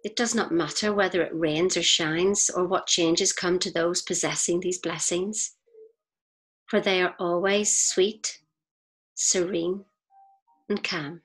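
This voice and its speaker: Female audiobook reader, slightly low register, measured delivery with deliberate pauses, faint music underneath. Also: a bit bright, husky, poor audio. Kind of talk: scripted narration of an inspirational essay.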